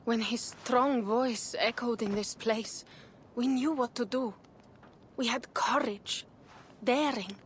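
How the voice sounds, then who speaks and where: Earnest, higher-voiced young Viking woman. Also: takes it slowly, slight background noise. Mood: wistful, solemn. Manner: solemn, earnest. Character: proud, grieving young Viking clanswoman, loyal and earnest. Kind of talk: dramatic video game acting.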